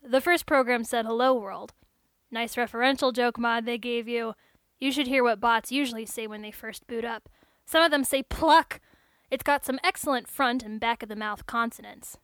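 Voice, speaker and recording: A woman's voice: fairly high pitch, moderate pace, fairly bright tone, no echo. A woman voicing a chatty, geeky young male robot technician who talks in an eager, rambling, slightly awkward way in audiobook dialogue.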